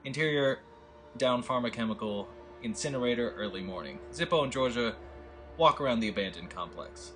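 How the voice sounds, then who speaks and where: Man, stage directions, steady reading, mid pitch, faint music. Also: fairly quick. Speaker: script reader of stage directions. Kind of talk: reading scene headings and action.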